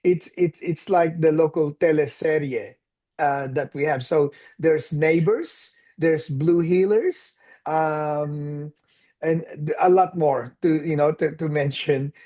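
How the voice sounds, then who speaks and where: A man in his sixties from the Philippines with a Filipino accent, telling his story as an interview guest in a relaxed, reflective way and dropping in Tagalog phrases. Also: on the high side, slow. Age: 60s. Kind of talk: casual interview conversation.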